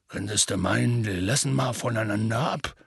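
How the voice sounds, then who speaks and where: A man voicing a big, rough, weary half-giant who tells his tale in a plainspoken, rambling, colloquial way, husky and gravelly, for an audiobook. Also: on the low side, moderate pace, no echo, clean studio sound, exaggerated voice. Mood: exasperated. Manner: colloquial, rambling, matter-of-fact storytelling. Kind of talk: audiobook character dialogue.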